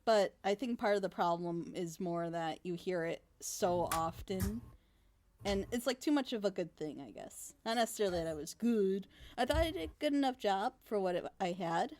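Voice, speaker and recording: A woman's voice: medium pitch, expressive, moderate pace, a little mellow. A woman hosting a casual group commentary chat, speaking in a hedging, self-critical way. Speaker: host of a group reaction discussion. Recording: noisy mic with clicks.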